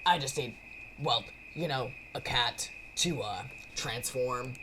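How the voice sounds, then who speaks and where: A woman voicing a laid-back, slangy cat schemer who rambles eagerly in halting bursts, as in a game. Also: extremely low pitch, background noise, voice pushed down. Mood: insistent, relieved. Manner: halting, stoner-like slang delivery.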